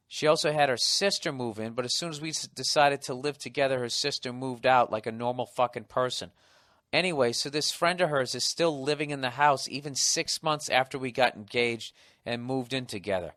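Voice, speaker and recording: A middle-pitched man in his forties with a Boston accent talks in a loose, profane monologue. He cuts into what he reads with sardonic asides, close on the mic in a dead, dry room. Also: fairly bright tone, no room sound. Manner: profane, sardonic, riffing between reading lines. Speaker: podcast host. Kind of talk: casual comedic monologue, reading a listener letter aloud.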